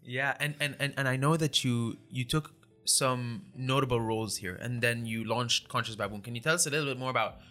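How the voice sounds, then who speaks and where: A man's voice: medium pitch, brisk, sharp tone, soft background music. A male podcast host who asks rambling questions, repeating words as he goes. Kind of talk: conversational interview.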